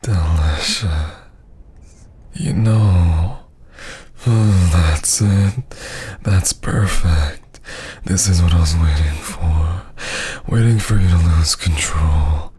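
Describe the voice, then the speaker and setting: A very slow, deep-voiced vampire lord played by a man in an intimate ASMR roleplay. He is seductive, menacing and condescending, teasing in a husky half-whisper that drips with command. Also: mellow, heavily acted. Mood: hungry, pleased.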